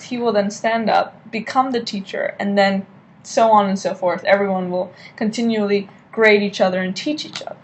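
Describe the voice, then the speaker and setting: A young woman talks straight into her laptop webcam from a lamp-lit hotel room, presenting a class speech in a loose, chatty way. Her pitch sits in the middle of the usual range, and she keeps tossing in "okay?" to the listener. Faint room noise hums under the recording. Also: low-quality recording. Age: young adult. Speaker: student giving a class speech. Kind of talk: informal informative speech. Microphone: laptop webcam at desk.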